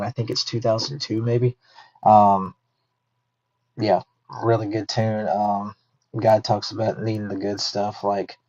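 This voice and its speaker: Man, thirties, podcast host, fairly low voice, chatty and rambling, dull lo-fi recording. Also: darkish tone. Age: 30s. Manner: chatty, thinks aloud with fillers and self-corrections. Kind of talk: casual podcast talk. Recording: dull lo-fi sound.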